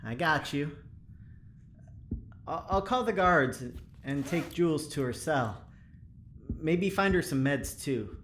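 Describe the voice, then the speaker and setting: Hesitant, soothing male doctor man acting, audio drama, unhurried, animated intonation, faint noise behind. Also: fairly high pitch. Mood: soothing concern. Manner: tentative, soothing. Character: gentle, hesitant doctor assisting in a lab.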